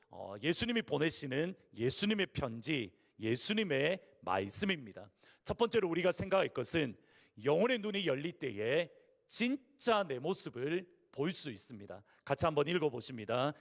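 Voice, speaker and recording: Hoarse Busan-accented pastor preaching in a ringing hall. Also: male voice, on the high side. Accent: Gyeongsang (Busan). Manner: earnest, storytelling preaching with rhetorical questions. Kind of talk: Sunday sermon. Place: large church sanctuary. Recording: long reverb of a church hall.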